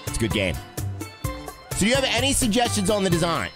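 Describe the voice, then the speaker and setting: A man with a raspy throat, streaming a game casually and chatting with viewers as host. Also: sits high, fairly quick, music in the background. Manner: animated, talks to chat and reads comments aloud.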